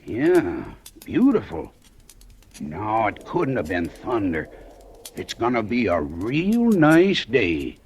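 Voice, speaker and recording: An actor playing a fussy older factory worker with a gravelly voice who complains in a folksy way, in a 1940s radio drama. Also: male voice, fairly low pitch, huge pitch swings, slow pace, slight background noise, heavily acted. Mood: puzzled.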